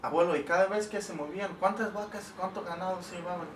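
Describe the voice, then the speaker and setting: Man as grandson interviewer, curious and prompting with many fillers, slightly high voice, roomy living room. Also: quick pace, a bit bright, distant mic. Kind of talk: family oral history interview. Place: home living room, indoors. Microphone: camera mic behind the camera. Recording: roomy home video with faint hiss.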